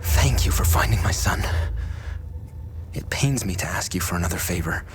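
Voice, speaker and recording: A man's voice: low voice, animated, normal speed, faint music behind. A man playing an anguished, determined father and history teacher in a video game drama, earnest and burdened. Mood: grave, grateful.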